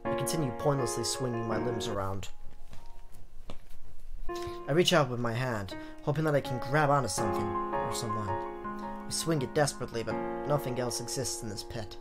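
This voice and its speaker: A man's voice: mid-range voice, normal speed. A man doing a let's play, reading visual novel narration aloud in a theatrical, dramatic way with joking asides. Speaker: gaming YouTuber. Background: game music.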